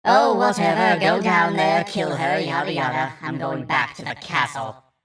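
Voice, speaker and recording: A man voicing a cruel undead necromancer queen who speaks in a slow, dismissive, irritable drawl. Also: on the high side, lively intonation, far from the mic, crowd noise, pitched above the performer's own voice. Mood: irritated.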